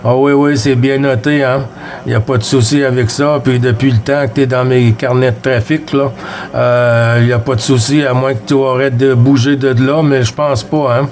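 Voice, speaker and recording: A man from Quebec with a Québécois French accent talks on the CB radio. His voice sits at a middle pitch. He is friendly and easygoing, and he reads out call signs and signal reports between bits of casual chat. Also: steady tone, moderate pace, a little mellow. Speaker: CB radio operator.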